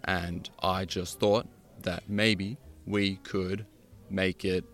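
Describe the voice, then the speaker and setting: A man's voice: low-pitched, level tone, fairly bright tone, heavily acted. A man playing a hesitant, easily hurt but tender young boyfriend on a date in an audio drama. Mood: tender, nervous. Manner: hesitant, halting.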